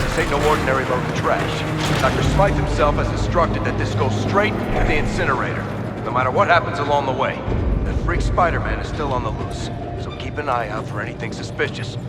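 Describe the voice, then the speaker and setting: A man's voice: on the high side, brisk, echoey room, in a large hall, loud music behind the voice, close to an everyday voice. A man acting a jumpy, commanding security squad leader who barks orders in a video game. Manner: barking, commanding.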